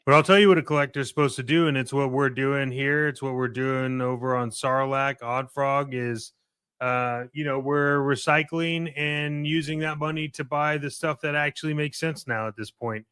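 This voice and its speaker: A man talks casually as a podcast co-host, giving his opinions plainly. His voice is clear and smooth at a middling pitch. The sound is dry, with very little room in it. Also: fairly even intonation, moderate pace, a bit bright, no room sound.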